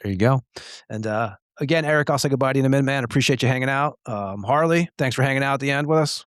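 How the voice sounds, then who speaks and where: A man's voice: medium pitch, fast talker, smooth, no room sound, clean studio sound. A man hosting a casual interview podcast, talking informally and with some swearing. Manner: informal, profane. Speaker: podcast host.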